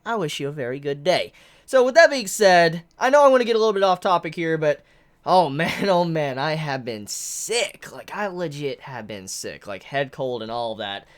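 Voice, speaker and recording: A woman giving a chatty, excitable live manga reaction while congested from a head cold. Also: low-pitched, normal speed. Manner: excitable, chatty, exclaims often.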